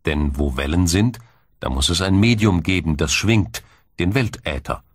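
Low-voiced male documentary narrator. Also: husky, dry recording, studio quality. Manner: measured, explanatory, formal delivery.

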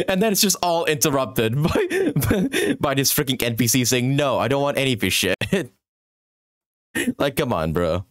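An excitable young man streams a casual reaction in a lively, swinging voice. He talks in slang and swears freely. The recording is close and dry, with some music behind him. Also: mid-range voice, a little slow, no room sound. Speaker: streamer reacting to a game trailer.

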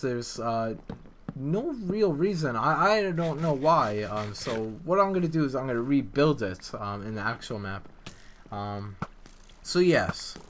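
A man giving casual, unscripted commentary as a game developer, explaining things in a hesitant, rambling way. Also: mid-range voice. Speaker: video game map developer and video maker. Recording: clear background noise with clicks.

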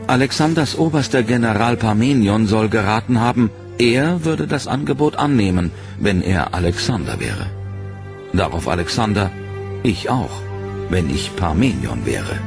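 A man reading a serious, measured documentary narration. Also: on the low side, gravelly. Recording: narration over loud music.

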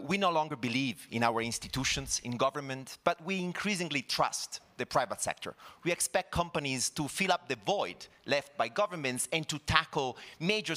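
A man's voice: medium pitch, sharp tone. A man in his forties giving an emphatic, persuasive speech on a conference stage with a handheld microphone. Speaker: law professor and activist speaking on stage.

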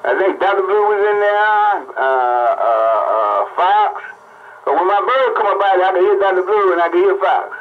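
A man's voice: high-pitched, normal speed. A man bantering casually over CB radio, repeating phrases for emphasis, heard through the radio's speaker. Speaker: CB radio operator. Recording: muffled CB radio with faint noise. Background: faint radio noise.

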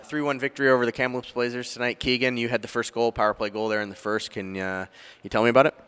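A man's voice: medium pitch, level tone, fast, a bit bright, smooth. A middle-aged man putting postgame questions as a sports reporter into a handheld microphone. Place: indoors before a sponsor backdrop at an arena.

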